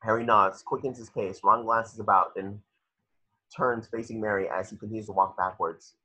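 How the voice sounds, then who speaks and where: A man narrates a screenplay at an online table read. His voice is fairly low yet bright and forceful, with a husky roughness. The call makes it slightly lo-fi. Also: steady tone, normal speed. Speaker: narrator reading stage directions. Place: home, video call. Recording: dull, lo-fi video call.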